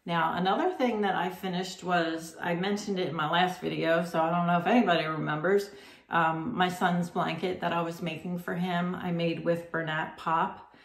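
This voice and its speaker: A woman's voice: on the low side, steady tone, moderate pace. A middle-aged woman hosting a crochet podcast, chatting to the camera at home in a rambling way, full of ums and self-corrections. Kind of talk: casual chat to camera. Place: home room with red striped wallpaper, indoors. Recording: roomy echo.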